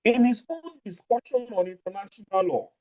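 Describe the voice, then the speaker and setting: A man lecturing a law class online, stretching out key words with deliberate emphasis. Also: high voice, animated. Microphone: remote call over network. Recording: dull lo-fi online audio.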